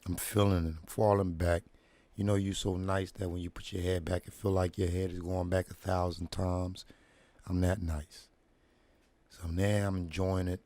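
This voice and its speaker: Laid-back deep-voiced man telling stories. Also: warm tone, no room sound. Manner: laid-back slangy storytelling, "dig me" asides; deliberately deep "radio voice". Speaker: show host. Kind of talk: casual storytelling monologue. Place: at home, relaxing with feet up.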